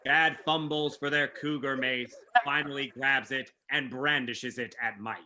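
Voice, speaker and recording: A man's voice: mid-range voice, fairly even intonation, a little slow, sharp tone. A man reading scripted narration for a staged play reading.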